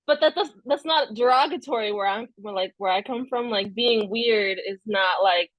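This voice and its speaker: A woman whose first language is Haitian Creole answers interview questions as an author. She speaks hesitantly, with many fillers and soft laughs. The sound is close and dry, with no room echo. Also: a little high, normal speed, highs cut off. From South Florida. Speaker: author guest. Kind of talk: casual interview chat.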